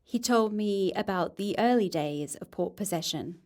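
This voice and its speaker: A woman's voice: medium pitch, animated, moderate pace, a little mellow, soft background music, close to an everyday voice. A woman playing an inquisitive podcast reporter in an audio drama, speaking in an informal, conversational way. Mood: reflective narrating. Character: inquisitive female reporter, informal and dryly engaged. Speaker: reporter and narrator. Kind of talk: first-person podcast narration and interview in audio drama.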